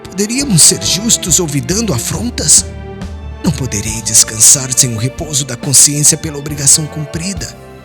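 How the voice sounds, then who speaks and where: A man voicing a stern, wounded old Hebrew father in an audiobook, stubborn and solemn, with forceful, indignant oratory. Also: a little high, lively intonation, normal speed, music in the background, voice pushed up, natural voice. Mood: solemn resolve. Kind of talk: dramatized audiobook reading.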